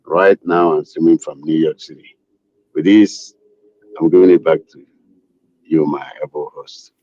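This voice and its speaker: A man from eastern Nigeria, an evangelist, speaking in a measured, formal way on a live-stream panel over a video call. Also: a bass voice, fairly even intonation, warm tone, no echo, highs cut off.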